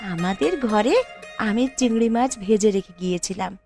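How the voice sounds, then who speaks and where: A woman's voice: medium pitch, lively intonation, moderate pace, over music, close to an everyday voice. A woman voicing a proud, outspoken poor young wife in a moral-tale cartoon, with emphatic, dramatic delivery. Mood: reassuring.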